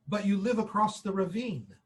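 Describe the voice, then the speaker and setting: A man playing an unhurried, casual police officer on night patrol in a 1940s-style radio play. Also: sits high, lively intonation. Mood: folksy, casual. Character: male night policeman, slow, casual, watchful.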